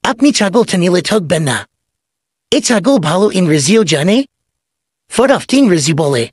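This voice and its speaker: A man acts out a sly cartoon goat thief. His voice is husky and swings up and down as he pours out glib salesman patter. The sound is dry and close. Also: high voice, sing-song, unhurried, dry recording, exaggerated voice. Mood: glib, persuasive. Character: sly, fast-talking male goat thief and swindler. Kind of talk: comedy cartoon voice acting.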